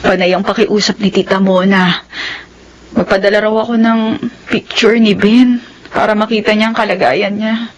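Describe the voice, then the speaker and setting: An actress playing a devoted, emotional nurse in an audio melodrama, speaking earnestly. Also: female voice, mid-range voice, fairly even intonation, normal speed, lo-fi sound, lightly acted. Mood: worried. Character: devoted young nurse in love with her patient. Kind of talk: melodramatic audio drama acting.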